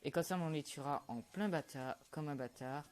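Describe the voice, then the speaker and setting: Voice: medium pitch, normal speed, darkish tone. A young gamer, chatting casually over his gameplay in a mumbled, offhand way with lots of fillers. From Quebec, Canada. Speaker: gaming YouTuber.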